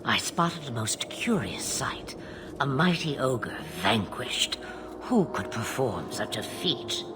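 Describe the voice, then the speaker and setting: A woman playing an ancient, amused, imperious witch in a fantasy game, husky, theatrical and drawling. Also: a bass voice, big pitch swings, takes it slowly, in a whisper, exaggerated voice. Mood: sardonic curiosity. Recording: loud music under the voice.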